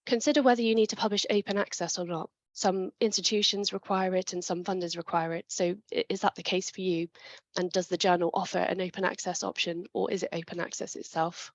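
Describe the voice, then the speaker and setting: A woman presenting a webinar talk on writing papers, clear and methodical, with a chest infection coming on. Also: lowish voice, steady tone, a little fast, dry recording. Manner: clear, methodical, with small restarts.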